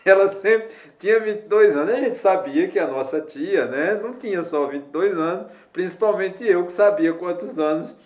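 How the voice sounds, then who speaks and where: A man's voice: sits high, normal speed, reverberant. A man in his sixties, a lawyer giving a casual video lesson, talking in a rambling way with many fillers and self-corrections. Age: 60s. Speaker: lawyer explaining elderly law.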